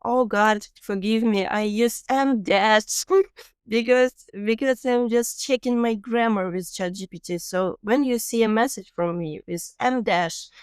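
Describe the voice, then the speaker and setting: Woman, Ukrainian accent, podcast host, smooth resonant voice, dry close sound, earnest explaining. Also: on the high side, measured pace, dry recording. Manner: earnest, explanatory, laughs easily. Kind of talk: casual podcast interview.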